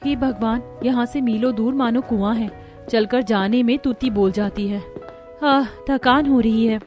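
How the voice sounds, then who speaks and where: A woman plays a meek young village bride in a cartoon story. Her voice is high and plaintive, with faint music behind it. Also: unhurried, darkish tone, natural voice. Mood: weary. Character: meek, pleading poor young daughter-in-law. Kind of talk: Hindi cartoon story acting.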